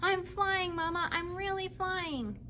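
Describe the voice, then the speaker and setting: A woman voicing an eager, wide-eyed young gosling in a squeaky voice for a children's storybook. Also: slow, pushed and bright, slight background noise, exaggerated voice. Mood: excited. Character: excitable little boy gosling learning to fly.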